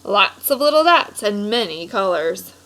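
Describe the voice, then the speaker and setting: A woman's voice: medium pitch, big pitch swings, a little slow, bright, forceful tone. A woman teacher reading aloud and explaining to children, instructive and checking in with questions.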